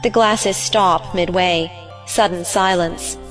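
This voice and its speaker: A woman's voice: fairly low pitch, steady tone, slow, a bit bright, faint music behind. A woman narrator reading scripted stage directions in short, clipped phrases.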